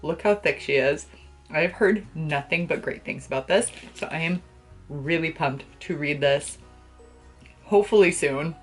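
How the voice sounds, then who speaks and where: Casting: young woman, low-voiced, casual book vlogger, gushing and playful with slangy delivery, bedroom setting. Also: measured pace, soft background music. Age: young adult. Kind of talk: casual chat to camera about books. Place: small bedroom, indoors.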